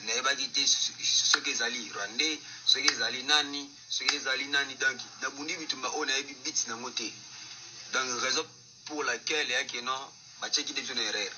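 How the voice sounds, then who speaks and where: A man's voice: medium pitch, steady tone, a little fast, background noise. A man, a soldier being interviewed, who stammers and repeats syllables while searching for words.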